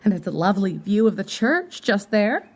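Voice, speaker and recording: A woman's voice: mid-range voice, big pitch swings, moderate pace. A woman playing a welcoming, busy boarding-house mistress in an audio play, chatty and gracious. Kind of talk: audio drama acting.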